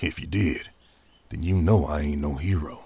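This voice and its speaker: An actor playing a cynical, distrustful gunslinger in a Western audio drama, sardonic and defiant, with a Southern American accent. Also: male voice, rumbling low, moderate pace, vocal fry, warm tone, slightly rough, heavily acted. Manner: sardonic, suspicious.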